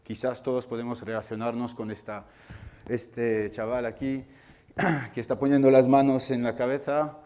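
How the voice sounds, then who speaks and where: A man whose first language is not Spanish, lecturing an audience on game development and often pausing to search for words. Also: medium pitch, level tone, dark tone. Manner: searching for words, frequent restarts and fillers. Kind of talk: public talk to an audience. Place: cultural center lecture room.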